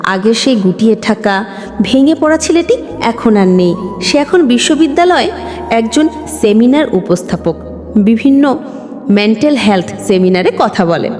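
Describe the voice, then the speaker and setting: A woman's voice: a little high, lively intonation, unhurried, a little mellow. Female storyteller for emotional scripted narration; very reverberant sound over a music bed. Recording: long reverb, music underneath. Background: background music.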